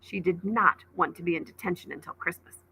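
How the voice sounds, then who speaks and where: A woman reading a children's novel aloud as a teacher, in expressive storybook narration. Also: on the low side, a little fast, vocal fry, slightly rough, rough recording.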